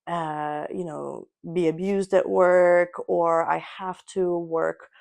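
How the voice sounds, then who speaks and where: A woman in her thirties, a native Russian speaker, co-hosting a casual podcast from her apartment couch, animated and quick to laugh. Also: fairly low pitch, gravelly. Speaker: podcast co-host. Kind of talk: casual chat. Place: living room couch in an apartment.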